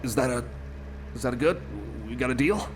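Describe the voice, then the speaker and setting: A man's voice: medium pitch, a little fast, a little hiss or hum, lightly acted. A man playing a friendly, anxious cyborg companion in an ASMR roleplay, rambling hesitantly and repeating himself as he chats to the listener. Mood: wary, protective.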